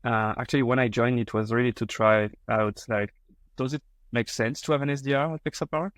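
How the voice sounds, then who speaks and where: A man's voice: medium pitch, fairly even intonation, a little fast, dry recording, clean studio sound. A man in his thirties with a French accent, a guest on a podcast interview, talking with frequent fillers and restarts. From France. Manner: hesitant, many fillers and restarts.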